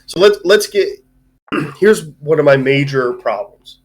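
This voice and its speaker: A man's voice: fairly high pitch, animated, measured pace. A man hosting a live-streamed film discussion in an informal, rambling way with some swearing. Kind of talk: casual chat, film review.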